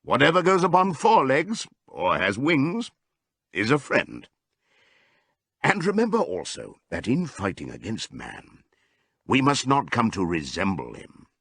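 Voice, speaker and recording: A man voicing an old male pig in an audiobook, giving a solemn, deliberate, rousing speech to his comrades. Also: medium pitch, wildly swooping pitch, a bit bright, no room sound, studio quality, full character voice. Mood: solemn, commanding. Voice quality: hoarse as scripted old voice. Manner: oratorical, deliberate.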